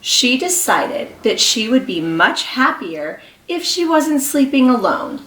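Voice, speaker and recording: A woman kindergarten teacher reading a story aloud to young children, expressive and encouraging, pausing to ask them questions. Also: a little high, distant mic. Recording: echoey room.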